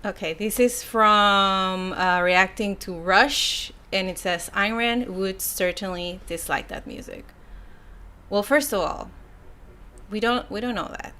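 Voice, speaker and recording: Bright-voiced woman with a Mexican Spanish accent. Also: medium pitch, takes it slowly. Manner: chatty, laughs and sighs between thoughts. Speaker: YouTuber reading viewer comments. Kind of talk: casual live stream chat.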